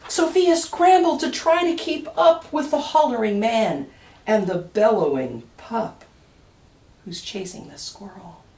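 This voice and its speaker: A woman reading a rhyming picture book aloud for children, expressively, with dramatic emphasis and deliberate pauses. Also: a little high, expressive, a little mellow, slight background noise. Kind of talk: expressive storytime read-aloud of rhyming verse. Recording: roomy echo, distant mic.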